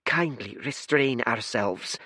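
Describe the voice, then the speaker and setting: Storybook spirit of kindness, soft and calm, a bit above the reader's pitch, as a male narrator voices him. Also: slow pace, dry recording, studio quality. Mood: gentle.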